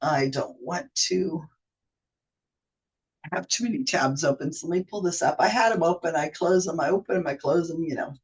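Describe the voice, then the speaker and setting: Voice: mid-range voice, normal speed, husky, low-quality recording. A Wikipedia editor with a cold giving a chatty, rambling walkthrough of pages shared on screen, often correcting themselves mid-sentence. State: has a cold. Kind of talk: casual screen-share explainer.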